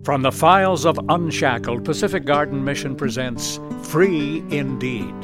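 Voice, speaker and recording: Male announcer over clear guitar music. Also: on the high side, a little slow. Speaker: radio announcer. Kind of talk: scripted program announcement. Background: plucked guitar music.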